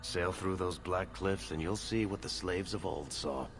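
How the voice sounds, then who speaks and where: A man's voice: sits low, a little slow. An actor playing a sly storyteller who tells his tale in a wry, theatrical way. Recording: game cutscene with music.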